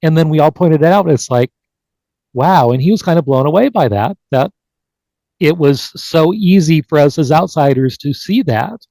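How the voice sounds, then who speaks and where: A man's voice: on the high side, lively intonation, normal speed, gravelly, warm tone, dry recording, poor audio. A man hosting a live online panel in a conversational way, speaking over a video call. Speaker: podcast host.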